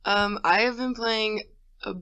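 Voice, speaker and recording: A young woman from the United States with a deep voice, joking around as a podcast co-host. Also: steady tone, unhurried, pushed and bright, smooth. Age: young adult. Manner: playful, jokey. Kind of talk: casual chat.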